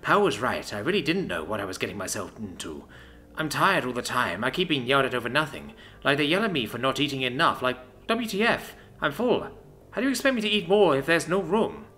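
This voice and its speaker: A man's voice: medium pitch, big pitch swings, brisk, bright, forceful tone, faint music behind. A man voicing a tired, embarrassed young male recruit who speaks in a plain, sheepish way. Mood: weary.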